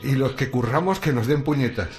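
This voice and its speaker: A chatty middle-aged night guard who grumbles his way through a cold shift in a radio play, played by a man. His voice is mid-pitched and everyday. A soft bed of music runs behind him. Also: fairly quick, close to an everyday voice. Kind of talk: radio drama acting.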